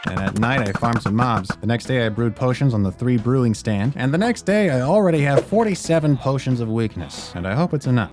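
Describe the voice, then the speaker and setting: A man's voice: medium pitch, a little mellow. A man reading a scripted first-person narration for a survival gaming video. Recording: music behind the voice. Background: background music.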